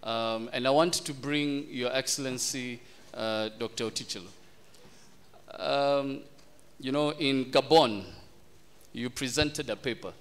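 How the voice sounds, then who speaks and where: Smooth-voiced man moderating a panel. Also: mid-range voice. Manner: measured, posing questions, mixing in Swahili. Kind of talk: formal panel discussion.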